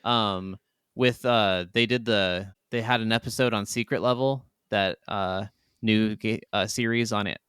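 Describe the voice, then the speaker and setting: A man co-hosting a gaming podcast in casual chat, enthusiastic and informal, swearing freely, with a clear, smooth voice. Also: on the low side, steady tone, a little slow, a bit bright, dry recording, studio quality. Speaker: podcast co-host.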